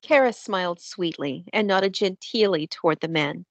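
A woman's voice: fairly low pitch, normal speed. A woman narrating a scripted fantasy drama.

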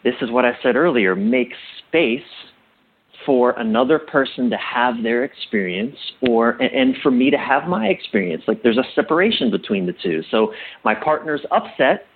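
A man being interviewed as a guest, explaining things like a coach in a thoughtful, halting way and repeating phrases for emphasis. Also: medium pitch, lively intonation, husky, narrow, tinny audio.

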